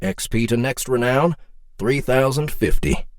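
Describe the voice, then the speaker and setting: A man's voice: mid-range voice, a little slow, dry recording, studio quality, natural voice. A man voicing a game system announcer declaring rewards and levels in a fantasy audiobook. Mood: grandly announcing.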